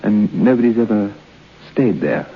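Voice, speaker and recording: A man's voice: fairly low pitch, lively intonation, unhurried, a little hiss or hum. An actor playing a polite, nervous bachelor in his thirties, hesitant and stammering, in a vintage radio suspense play. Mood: uneasy, curious. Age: 30s. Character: polite, nervous thirty-three-year-old bachelor guest. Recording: dull lo-fi old radio broadcast.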